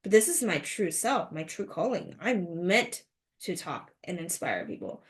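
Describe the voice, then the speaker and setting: A woman's voice: fairly low pitch, animated, measured pace. A woman in her thirties hosting a motivational podcast, speaking earnestly and asking rhetorical questions. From United States. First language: Mandarin. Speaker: podcast host.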